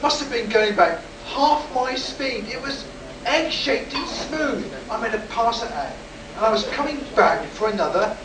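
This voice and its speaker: A man's voice: very high voice, animated, normal speed. Man acting an agitated rocket pilot, echoey and distant. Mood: tense recounting. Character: agitated, insistent rocket test pilot shaken by an alien encounter. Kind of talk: sci-fi radio play acting, performed live. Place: live performance in a room at a convention. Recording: roomy, distant, noisy live convention stage recording.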